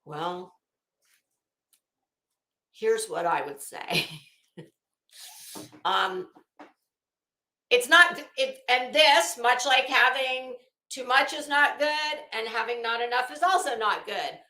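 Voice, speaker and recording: Bright-voiced American woman chatting in an echoey room. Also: a little high, slow pace, pauses often. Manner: chatty, emphatic, blunt, swears casually. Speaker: livestream host. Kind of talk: casual livestream chat with viewers. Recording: echoey, roomy sound.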